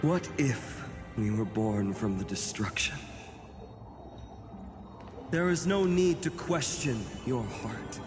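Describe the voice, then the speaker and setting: Slow, solemn young hero. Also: male voice, medium pitch, animated. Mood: solemn, resolute. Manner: declamatory, earnest. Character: resolute young heroic man, solemn and poetic. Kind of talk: scripted game voice acting. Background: loud game music.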